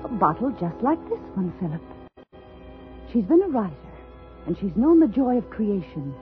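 An actress playing an unstable, haughty woman writer bent on revenge in a 1950s radio thriller, intense and halting, quick to flare. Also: medium pitch, very animated, natural voice. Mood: brooding, dreamy. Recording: tinny old radio broadcast, music behind.

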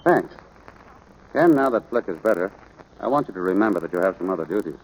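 A low-pitched, gruff American rancher dad in a vintage radio drama. He speaks firmly and plainly, like a stern father teaching his boy. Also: expressive, fairly quick, darkish tone, low-quality recording. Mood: firm, wry. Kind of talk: 1940s radio drama acting.

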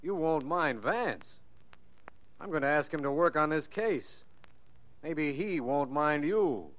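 An actor playing an earnest, firm district attorney in 1940s radio drama style. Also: male voice, a little high, lively intonation, takes it slowly. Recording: dull old radio recording.

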